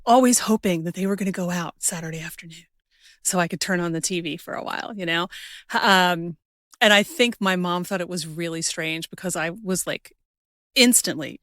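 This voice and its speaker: Low-voiced woman hosting an interview, dry sound. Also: fairly bright tone, no room sound. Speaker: podcast host and interviewer. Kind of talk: scripted introduction and conversational interview.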